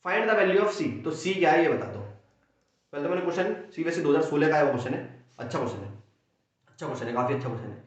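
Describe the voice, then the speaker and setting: A young man from India teaching a maths exam-prep lesson with a sore throat, energetic and playing both himself and his students. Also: on the high side, fast talker. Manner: energetic, acts out students' questions and answers himself. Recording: echoey room, dull lo-fi sound.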